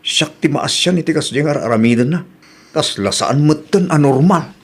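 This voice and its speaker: A man with an Ilocano accent voicing a patient widower who speaks calmly and earnestly in an audio drama. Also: a little high, normal speed, breathy edge.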